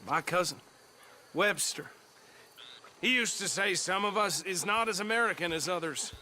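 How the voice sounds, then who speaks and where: Brief: a drawling rural lawman, somewhat high, outdoor ambience clearly audible. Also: normal speed, bright, forceful tone, slight background noise. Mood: folksy. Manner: folksy then abusive. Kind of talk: video game cutscene acting.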